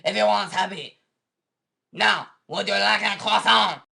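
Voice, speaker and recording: An actor plays an irritable cartoon man with a bright, forceful voice and a gravelly edge. He is cynical and dramatic, swinging through sarcastic rants in a comedic animated show. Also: mid-range voice, moderate pace. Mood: deadpan. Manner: sarcastic, ranting. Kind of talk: comedic animated web-series dialogue.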